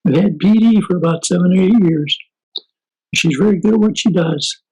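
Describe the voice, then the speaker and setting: Man in his seventies, high pitched, gravelly, husky, dark tone, hesitant. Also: normal speed, poor audio. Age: 70s. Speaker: group participant. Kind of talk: casual group talk.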